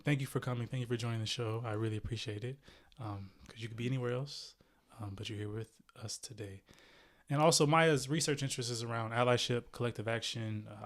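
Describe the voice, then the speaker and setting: A man in his thirties hosting a podcast, introducing his guest in a friendly way with many "um"s and "okay"s. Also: on the low side. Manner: friendly, fills gaps with um and okay. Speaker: podcast host. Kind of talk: podcast interview.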